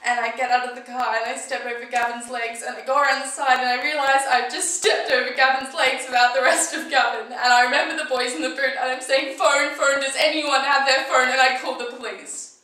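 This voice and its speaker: A young woman acting a conversational monologue as a shaken, defensive teenage girl, telling her story in colloquial, halting bursts. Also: mid-range voice, sharp tone, close to an everyday voice. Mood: panicked. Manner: colloquial, swearing, halting then breathless retelling. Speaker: acting student performing a monologue. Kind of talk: dramatic screen-acting monologue, conversational first-person storytelling. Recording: echoey room, slightly distant microphone.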